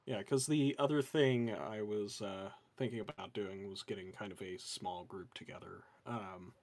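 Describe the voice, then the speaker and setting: A man with a monotone voice, chatting casually about running tabletop games as a dungeon master. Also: lowish voice, moderate pace, gravelly. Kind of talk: casual chat.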